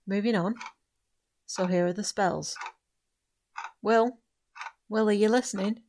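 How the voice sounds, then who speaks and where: A woman's voice: medium pitch, steady tone, takes it slowly, darkish tone, slight background noise. A woman playing a composed, bossy young witch in an audio drama, speaking in firm, formal, instructive phrases. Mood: firm instruction.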